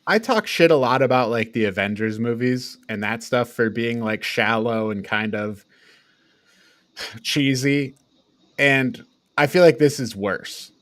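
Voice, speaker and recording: A man talks as a panelist on a casual review podcast. He is blunt and exasperated, with loose swearing and a conversational delivery. His voice sits at an ordinary male pitch, and the sound is very dry. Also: unhurried, fairly bright tone, no room sound. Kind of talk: casual critical chat.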